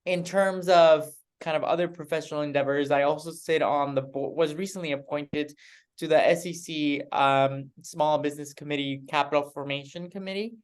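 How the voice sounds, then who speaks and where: A man's voice: on the high side, steady tone, moderate pace, clear, resonant tone. A man from Mexico introducing himself on a panel, upbeat and repeating words as he goes.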